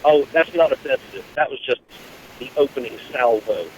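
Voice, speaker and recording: A man's voice: medium pitch, normal speed, a little hiss or hum. Dry, exasperated man on a phone call. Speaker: phone-in guest. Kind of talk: casual phone conversation. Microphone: telephone.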